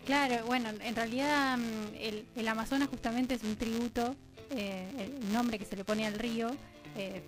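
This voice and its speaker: High-voiced Argentine woman over music. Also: fairly even intonation, normal speed, low-quality recording. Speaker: interview guest, radio drama scriptwriter. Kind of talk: conversational explanation in an interview. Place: radio studio. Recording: music clearly playing behind voice.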